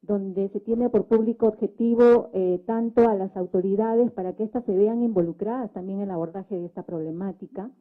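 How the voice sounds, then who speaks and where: Mujer peruana con sonido telefónico. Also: female voice, medium pitch. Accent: Peruvian. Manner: explanatory, frequent tag questions. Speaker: government social communicator, panel speaker. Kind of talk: public debate remarks. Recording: thin phone-like sound.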